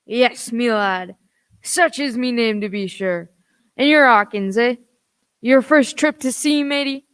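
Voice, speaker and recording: A wily old pirate sea cook as acted in a radio play. The voice is clear and smooth, full of folksy, cajoling sailor dialect. It is recorded close and dry. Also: mid-range voice, unhurried, fairly bright tone, dry recording, lightly acted. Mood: genial, folksy.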